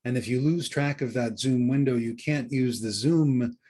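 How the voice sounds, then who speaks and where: A man co-hosting a podcast in a conversational style, somewhat halting and repeating phrases for emphasis. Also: mid-range voice, mellow. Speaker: podcast co-host and book coach.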